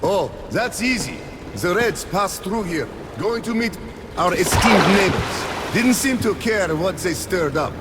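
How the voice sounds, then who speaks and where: A blunt, no-trouble station boss from a video game. His voice is high and swings widely as he lays down the law. There is clear noise in the background. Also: animated, measured pace. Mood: friendly. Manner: blunt, emphatic. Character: gruff, exasperated male station boss wary of trouble.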